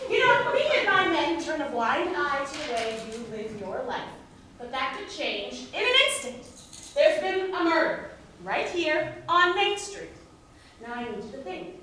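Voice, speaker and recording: Woman acting a high-voiced, commanding commissioner, echoey hall. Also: very animated, measured pace, far from the mic. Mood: stern, threatening. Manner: authoritative, pointed. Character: brisk, commanding female town police commissioner. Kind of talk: musical comedy stage acting. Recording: echoey hall recorded from afar, noisy.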